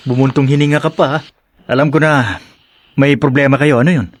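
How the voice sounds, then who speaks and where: A man's voice: medium pitch, fairly even intonation, normal speed, lightly acted. A man playing a pushy friend in a radio drama, teasing and insistent. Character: pushy male friend giving bad advice.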